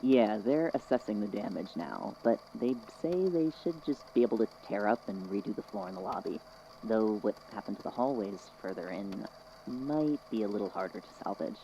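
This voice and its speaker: Someone acting chill friendly coworker in an audio drama, soft mellow voice, dull lo-fi sound w faint noise. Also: mid-range voice, animated, normal speed, gravelly. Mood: matter-of-fact. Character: easygoing, friendly institute coworker bringing news. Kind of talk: scripted audio drama dialogue.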